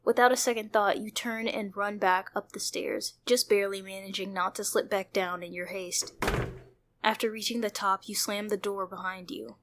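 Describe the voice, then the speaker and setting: A woman's voice: mid-range voice, steady tone, normal speed, a bit bright, a little hiss or hum. A woman hosting a playthrough, reading visual novel narration aloud and adding her own commentary. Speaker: host of a video game playthrough channel. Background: background music.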